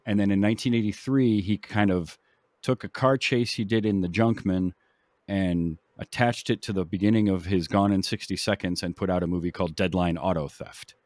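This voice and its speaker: A man hosting a film podcast, casually explaining background in a halting, explanatory way. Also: deep voice, steady tone, a little mellow, dry recording.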